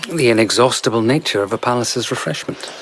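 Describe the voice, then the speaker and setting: An actor playing a playful, free-spirited court entertainer and mystic in a radio drama, teasing and courteous. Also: male voice, mid-range voice, fairly quick, a bit bright, a little hiss or hum, lightly acted, rough recording. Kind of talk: naturalistic radio drama acting.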